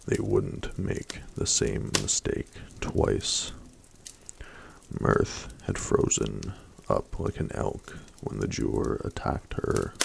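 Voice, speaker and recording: A man reading a fantasy story aloud in a hushed, mostly whispered ASMR style. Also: a bass voice, vocal fry. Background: faint campfire crackle.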